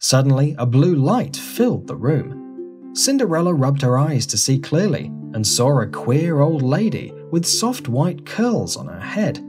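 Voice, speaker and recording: Children's fairy-tale narrator, very expressive intonation, gentle music underneath. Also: medium pitch, a little slow. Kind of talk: scripted children's storybook narration.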